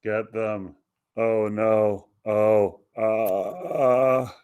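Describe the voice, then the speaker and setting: Hapless male soldier played by an actor: very slow, hesitant, deadpan complainer in a radio comedy. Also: fairly low pitch, very noisy. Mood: half-hearted commanding. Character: deadpan, hapless soldier preoccupied with minor discomforts. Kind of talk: comic radio play acting.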